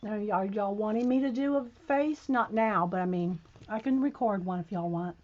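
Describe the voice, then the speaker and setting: A woman hosting a casual livestream, chatting straight to her viewers while she is losing her voice and sounds hoarse. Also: mid-range voice, moderate pace, dull audio. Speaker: livestream host.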